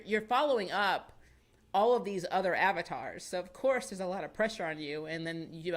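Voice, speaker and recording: Chatty American woman streaming from home. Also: fairly low pitch. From United States. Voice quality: chatty and animated, laughs often, thinks aloud with fillers. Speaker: live-stream host reading chat. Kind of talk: casual chat. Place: small home studio with shelves of collectibles. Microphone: boom-arm studio microphone close to the mouth.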